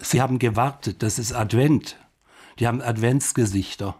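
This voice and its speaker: A man giving reflective commentary, musing haltingly and circling back over his phrases. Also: medium pitch, a little mellow, breathy edge. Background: music.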